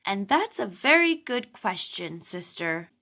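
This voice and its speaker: A woman voicing a friendly, brisk female doctor bear in a storybook, explaining things in a reassuring way. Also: medium pitch, very animated, sharp tone, exaggerated voice. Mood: matter-of-fact.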